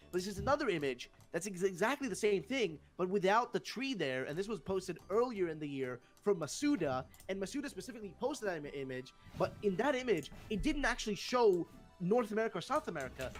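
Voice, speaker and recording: A man's voice: high-pitched, very animated, a little fast, soft background music. A young man with a Swedish accent, hosting a casual discussion and rambling with many fillers and restarts. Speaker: host.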